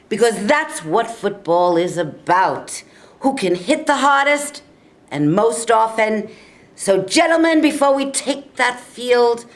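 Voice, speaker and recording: A woman playing a stubborn, proud male college football coach in a staged reading, with an emphatic, deliberate delivery. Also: on the high side, a bit bright, exaggerated voice.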